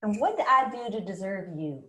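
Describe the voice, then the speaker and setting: A woman's voice: medium pitch, very animated, brisk, pushed and bright. A woman acting a tired, stubborn, evasive mother who speaks curtly, recorded in a roomy echo. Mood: weary, defiant. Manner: curt, evasive. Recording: roomy, echoing sound.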